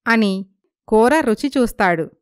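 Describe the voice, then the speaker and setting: A woman's voice: on the high side, animated, unhurried, clean tone, no room sound, clean studio sound. A woman narrating a scripted moral story.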